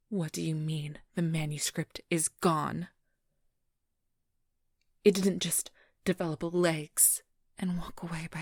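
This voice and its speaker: A woman acts out an ageless female vampire thief in an intimate ASMR role-play. Her very husky, breathy whisper crackles with fry and moves slowly, haughty and teasing, right up at a dry microphone. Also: deep voice, no echo, exaggerated voice. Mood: indignant. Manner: condescending, teasing, drawn-out delivery. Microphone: close, dry microphone.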